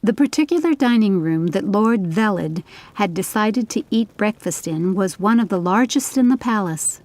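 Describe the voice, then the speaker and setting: A woman's voice: mid-range voice, animated, moderate pace, darkish tone. A woman reading scripted audiobook narration of a story.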